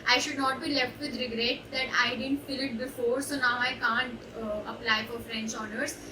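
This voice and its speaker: A young woman with an Indian English accent, her voice a little high, talks through her story in a thoughtful way and stops often to hesitate. She sounds set back from the mic in an echoey room, with steady noise underneath. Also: normal speed, far from the mic. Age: young adult. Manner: reflective, hesitant with many uh fillers. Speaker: student intern. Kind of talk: personal testimonial.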